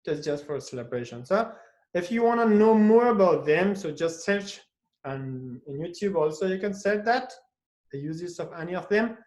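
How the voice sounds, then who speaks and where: A man's voice: high-pitched, lively intonation, normal speed, darkish tone, roomy sound. A man teaching English in a live online class from home at night, tagging "okay?" onto nearly every phrase and acting out each example. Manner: tags "okay?" after most phrases and acts out each interjection.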